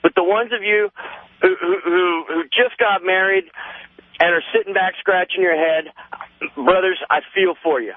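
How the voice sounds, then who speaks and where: An American man in his thirties calling a radio show, giving a rambling, earnest opinion in a halting way with repeated words. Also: sits high, no room sound. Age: 30s. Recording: muffled phone line on radio, dry.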